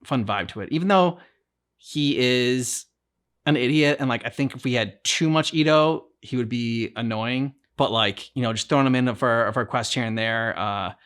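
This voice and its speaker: A man's voice: medium pitch, a bit bright, clear, resonant tone. Rambling man chatting casually in a reaction video. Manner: rambling, halting, repeats himself. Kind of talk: casual chat, reacting to a game.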